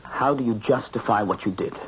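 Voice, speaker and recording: An actor playing an angry, self-righteous young American son, argumentative and insistent, in an old-time radio drama. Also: male voice, fairly low pitch, a little fast, a bit bright, lightly acted. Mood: confrontational. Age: young adult. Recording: muffled, noisy old radio recording.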